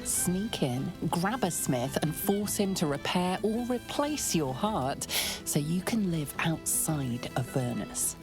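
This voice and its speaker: An actress playing a solemn storyteller, narrating a dark fantasy video game in a measured, dramatic way. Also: female voice, lowish voice, animated, over music. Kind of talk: scripted second-person game narration.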